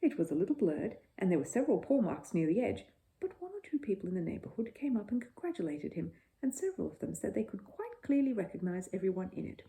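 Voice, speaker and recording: A woman narrates a children's bedtime story. Her tone is mellow and soft-edged, and her pitch moves expressively up and down as the scripted reading goes along. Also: very animated, fast, gravelly, rough recording.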